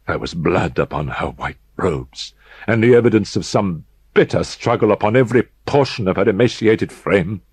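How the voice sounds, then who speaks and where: Male audiobook narrator, low-pitched and husky, grave and measured, dramatic gothic horror reading. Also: a little mellow, no echo. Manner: measured, grave, deliberate pauses for emphasis.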